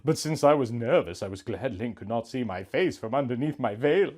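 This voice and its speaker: A man in his thirties reading a story aloud in a melodramatic, mock-sentimental comic style, swinging between hushed and loud. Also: mid-range voice, animated, a little fast. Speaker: comic host reading out fan fiction.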